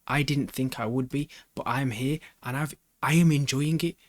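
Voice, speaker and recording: A man's voice: medium pitch, moderate pace, no echo. A man hosting a reaction video, thinking aloud in a casual, slangy way and often repeating his phrases as he rambles.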